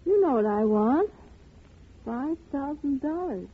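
An actress playing a desperate young woman, pleading yet insistent, with a husky voice in a 1950 radio drama. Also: sits high, lively intonation, unhurried. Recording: tinny old radio recording.

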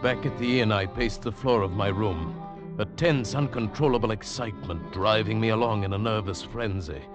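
A man's voice: fairly low pitch, normal speed, natural voice. An actor playing a haunted, tormented man telling of his ordeal with witchcraft, fervent and theatrical in 1940s radio style. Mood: tense, brooding. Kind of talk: 1940s radio drama acting, dramatic first-person narration. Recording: old radio broadcast with loud musical backing. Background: dramatic music.

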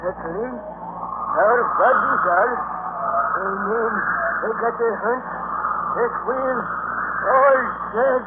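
An uneasy, brooding sailor in a 1940s radio mystery. The voice is notably high, ominous and earnest in its insistence. Heavy noise and a tinny band mark the old recording. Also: male voice, slow pace, pauses often. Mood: eerie conviction. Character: brooding, uneasy sailor haunted by eerie suspicions. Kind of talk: 1940s radio drama acting.

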